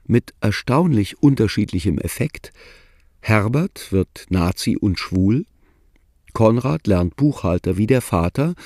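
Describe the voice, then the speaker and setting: A man reading literary narration for a radio play in a deadpan storytelling manner. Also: on the low side, no echo, clean studio sound.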